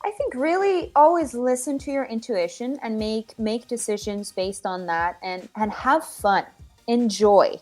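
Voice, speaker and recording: A Finnish-accented woman singer-songwriter answering interview questions from home, often pausing and restarting as she searches for words. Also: a little high, soft background music. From Finland. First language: Finnish or Swedish. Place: home with her dogs.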